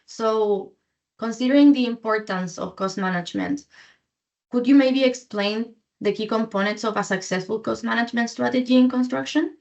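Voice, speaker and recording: A woman hosting a podcast, introducing the show and asking smooth, prepared questions in a friendly way. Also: a little high, normal speed, a little mellow, highs cut off. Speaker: podcast host.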